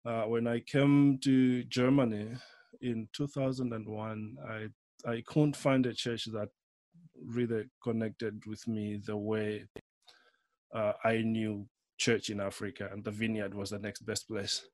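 A middle-aged man with a Malawian accent, giving a personal account as an interview guest in a thoughtful, halting way. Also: on the low side, flat delivery, darkish tone, dry recording. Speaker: interview guest, theology lecturer.

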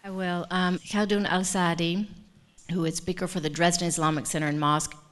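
Lower-pitched woman co-moderator. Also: flat delivery, a little fast. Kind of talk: live event moderating.

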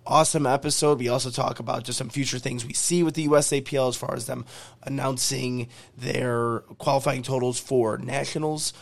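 A man with a hoarse, fading voice hosting a podcast, reading upbeat sponsor ads and repeating promo details. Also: mid-range voice, fairly even intonation, fairly quick. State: hoarse, losing his voice. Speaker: podcast host. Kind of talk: podcast intro and ad reads.

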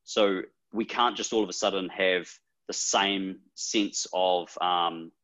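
A man's voice: low-pitched, steady tone, slow pace, a bit bright. A man with a New Zealand accent hosting a casual podcast, talking things through thoughtfully with plenty of fillers. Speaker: podcast host.

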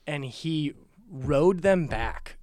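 A man in casual, comedic conversation, telling stories in an animated way and quoting his own thoughts. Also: fairly high pitch, extremely slow, no room sound, clean studio sound. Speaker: podcast guest.

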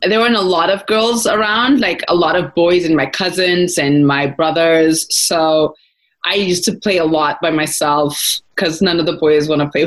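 A young woman from Ethiopia answers interview questions in a relaxed, rambling way. Her voice sits a little low, and she pauses often with fillers and restarts her sentences as she thinks. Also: low-quality recording. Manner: thoughtful, many fillers and restarts. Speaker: founder, guest. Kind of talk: casual interview talk.

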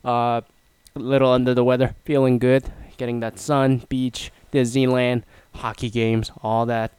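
A man's voice: medium pitch, little pitch movement, measured pace, a little mellow, smooth, no echo. A man hosting a casual solo talk show, rambling and self-mocking, with a nasal, stuffy voice and a throat that is half gone. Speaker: podcast host.

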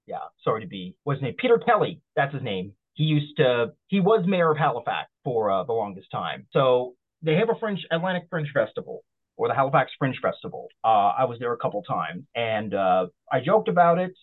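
A man from Nova Scotia, a comedian talking in an interview, rambling and halting with many fillers. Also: medium pitch, very animated. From Nova Scotia, Canada. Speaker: comedian guest. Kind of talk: casual interview. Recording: tinny phone line.

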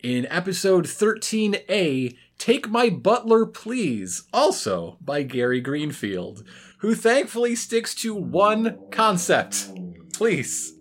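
A co-host with a mid-range voice who talks at an unhurried pace with lively swings in pitch. The delivery is a casual, wry recap, dropping dry asides and acting out quoted lines. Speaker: podcast co-host.

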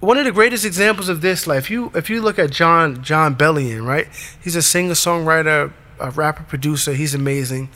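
A man with a raspy voice hosting his own show, delivering an emphatic motivational monologue in short punchy commands. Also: a little high, fairly quick, few pauses, bright, forceful tone. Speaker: podcast host.

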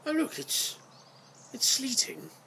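A man's voice: slow, bright, forceful tone, a little hiss or hum. A man playing a flustered, incredulous narrator in a comic audio drama. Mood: resigned. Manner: exasperated, incredulous. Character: exasperated, flustered narrator stuck with amateur producer.

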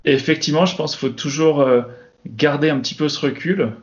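A man talking about his work as a Scrum Master in a relaxed podcast chat, thinking aloud with many pauses and restarts. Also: fairly high pitch, dull audio. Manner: reflective, many hesitations and restarts. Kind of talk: casual podcast conversation.

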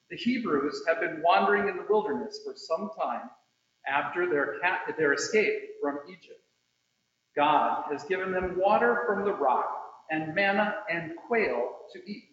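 In a very echoey room, a man with a rather high voice reads scripture aloud at a church service. His delivery is solemn and measured, taking its time over each phrase. The recording is a little dull. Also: a bit bright. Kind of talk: solemn scripture reading with short introductions. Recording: very echoey room, slightly muffled.

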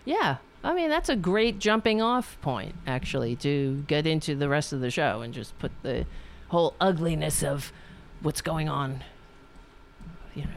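Woman, New York accent, talk show host, slow-paced, smooth voice, rambling, swears. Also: fairly low pitch. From Staten Island, New York. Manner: rambling, profane, frequent restarts. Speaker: podcast host. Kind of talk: political talk show monologue.

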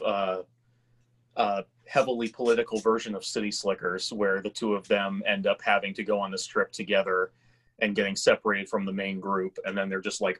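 A man's voice: low-pitched, fairly bright tone, slightly rough, poor audio. A man talking casually in a group discussion.

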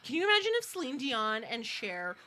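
A woman podcast host with a bright, forceful voice and very lively intonation. She chats casually and gushes with enthusiasm. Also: on the high side, brisk, a little hiss or hum, rough recording. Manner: animated, gushing enthusiasm. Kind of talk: casual chat.

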